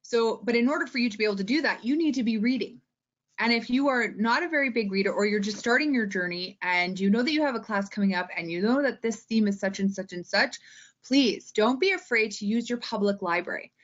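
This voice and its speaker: A Canadian woman giving an informal instructional talk in an expressive, chatty way that tends to wander. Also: on the high side, a little fast, lo-fi sound. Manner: animated, expressive, digressive. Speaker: teacher librarian and presenter.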